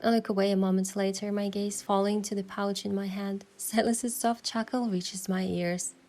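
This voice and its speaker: An actress playing a playful, determined young woman who narrates her romantic game adventure in a measured, expressive way. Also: medium pitch, lightly acted. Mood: narrating, playful. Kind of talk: first-person story narration and dialogue.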